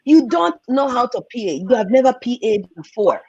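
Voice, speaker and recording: A woman with a Nigerian accent, a film and TV producer, telling animated stories as a guest on a video call. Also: on the high side, normal speed, husky, dry recording, lo-fi sound. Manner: animated, repeats words for emphasis. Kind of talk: candid storytelling in a conversation.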